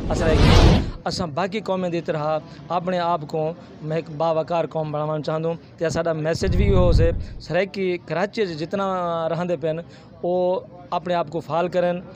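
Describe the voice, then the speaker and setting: An older man giving formal interview remarks in a halting way, searching for words. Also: a little high. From Pakistan. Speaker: party member being interviewed. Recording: very noisy event, handheld microphone.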